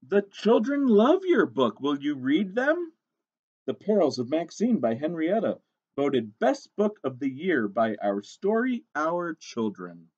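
A man's voice: fairly high pitch, big pitch swings, a little slow, a little mellow, breathy edge, highs cut off, voice pushed up, heavily acted. A man voicing an encouraging, enthusiastic librarian in a storybook.

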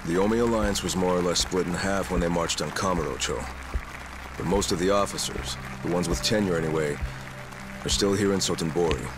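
A man playing a stern, formal yakuza clan chairman in a video game, speaking gravely and deliberately. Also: low-pitched, over music, lightly acted, low-quality recording. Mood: calm, planning. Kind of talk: video game cutscene acting.

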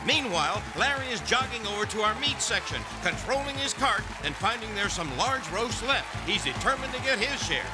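An American male announcer calls a game show like a sporting event. His voice is high, excited and punchy, with a playful edge, over loud music. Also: brisk, hardly any pauses, poor audio. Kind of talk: play-by-play game show commentary.

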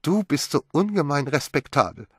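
A man voicing a flippant, restless young woman who talks with quick, mocking sharpness in an audiobook. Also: a little high, big pitch swings, husky, dry recording, clean studio sound, pitched above the performer's own voice, full character voice. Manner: teasing, quick and sharp-tongued. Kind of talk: audiobook character voice.

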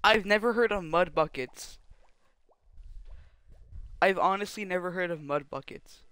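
High-voiced young man streaming a game. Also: unhurried, bright, forceful tone, clean tone, no echo. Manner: rambling, chatty, trips over restarts while talking to chat. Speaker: live streamer. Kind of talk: casual gameplay commentary.

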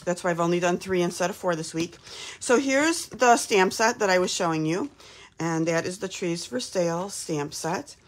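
A woman from Texas in her fifties, slightly hoarse from a cold, chatting casually while hosting a live craft demo from her home craft room. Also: lowish voice, moderate pace. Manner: chatty, upbeat, lots of "um"s.

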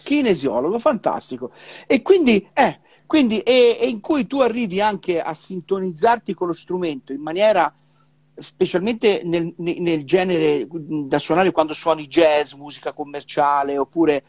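A middle-aged man with a fairly high voice talks casually over a video call. The sound is close and dry, and his speech halts and repeats syllables as he looks for the next word. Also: expressive, darkish tone, no echo. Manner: halting, repeats syllables as he searches for words. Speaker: professional trumpeter. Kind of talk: casual conversation about music practice. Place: indoor room, remote video call. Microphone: wired earphone mic on a video call.

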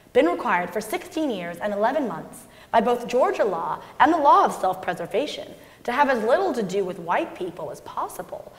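A young woman giving a measured, expressive dramatic reading of a memoir on stage. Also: a little high. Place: large indoor concert hall stage. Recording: echoey concert hall stage recording.

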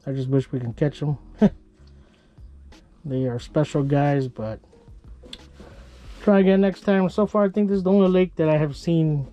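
Relaxed Minnesota fishing vlogger, slightly higher voice, easy chuckling narration, action cam in a small shelter. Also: male voice, lo-fi sound, soft background music. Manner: relaxed, chuckling, unhurried commentary. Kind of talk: casual narration while ice fishing.

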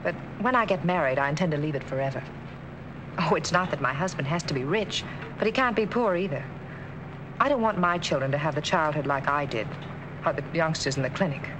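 An actress playing an earnest young woman who works at a clinic in a 1940s film, sincere and soft-spoken. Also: deep voice, brisk, lightly acted. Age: young adult. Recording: hissy 1940s film soundtrack.

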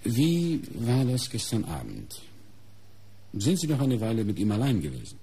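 An actor in a German radio crime drama playing a probing, insistent police inspector who questions in a clipped, exacting way. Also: male voice, medium pitch, huge pitch swings, normal speed, dark tone, husky, heavily acted. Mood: businesslike.

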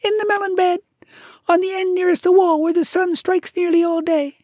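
A woman voicing a feather-brained little male tailorbird who sings and gushes praise in a shrill, sing-song voice. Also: level tone, moderate pace, a little mellow, no echo, studio quality. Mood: exultant. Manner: exultant, gushing praise. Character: giddy little male tailorbird, boastful singer.

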